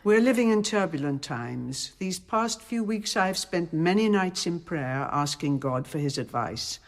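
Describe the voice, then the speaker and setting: An actress playing a composed, shrewd abbess in a radio drama, speaking in a measured, formal and authoritative way. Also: female voice, lowish voice, expressive. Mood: grave, commanding.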